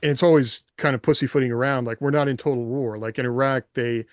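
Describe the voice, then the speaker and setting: A man with a medium-pitched voice discusses things casually as a podcast host. He thinks out loud, rambling reflectively and posing rhetorical questions. The recording is dry, with hardly any room in it. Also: steady tone, fast talker, no room sound.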